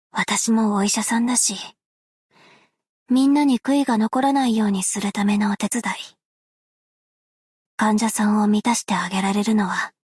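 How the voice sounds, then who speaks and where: Soft self-effacing game girl, young woman, super breathy near whisper, slightly high voice, quiet and measured. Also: no room sound, clean studio sound. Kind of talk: game character voice lines.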